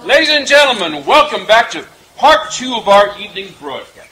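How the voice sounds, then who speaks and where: A man's voice: high-pitched, sing-song, moderate pace, sharp tone. A man hosting an old-time radio variety show with a showman's projected patter. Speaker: master of ceremonies. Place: outdoor night stage in woods, live audience. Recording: noisy outdoor stage, crowd.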